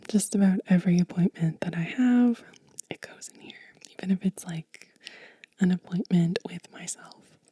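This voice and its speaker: Breathy whispering woman, soft and slow. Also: medium pitch, no echo. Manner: gentle, unhurried. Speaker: ASMR channel host. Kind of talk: ASMR show-and-tell, soft-spoken whispering.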